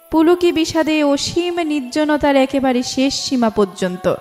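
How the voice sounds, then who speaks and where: Female story narrator, high register, formal literary read over soft instrumental music. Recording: music bed under the voice.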